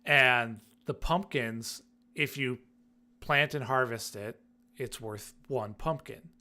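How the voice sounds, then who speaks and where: A man with a mid-pitched voice talks at a slow, unhurried pace. He co-hosts a gaming podcast and chats casually. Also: bright, forceful tone. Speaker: podcast co-host.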